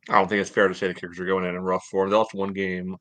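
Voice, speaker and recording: An American man in his thirties with a fairly low voice co-hosts a sports podcast from home. He discusses things casually, padding his sentences with small conversational fillers. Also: flat delivery, racing, a bit bright. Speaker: podcast co-host. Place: home room, indoors, on a video call.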